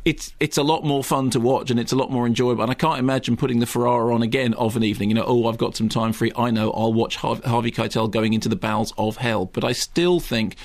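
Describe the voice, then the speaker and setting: A man's voice: mid-range voice, steady tone, fast, hardly any pauses, a little mellow, no room sound. A middle-aged man reviewing films on a radio show, opinionated and rambling, with wry asides and restarts. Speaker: film critic. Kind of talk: casual radio film review chat.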